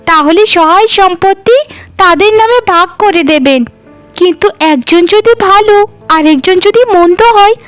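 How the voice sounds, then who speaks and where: Woman as a very high-pitched, conniving sister-in-law. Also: fairly bright tone, dry recording. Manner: theatrical, conniving. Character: sly, jealous, greedy elder sister-in-law plotting against bride. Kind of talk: melodramatic cartoon dialogue.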